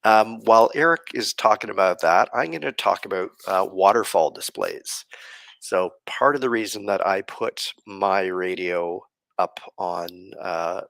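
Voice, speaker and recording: A man hosting a podcast live stream, explaining things casually in a halting, thoughtful way with frequent pauses. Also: low-pitched, vocal fry, a bit bright, no echo. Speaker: podcast host.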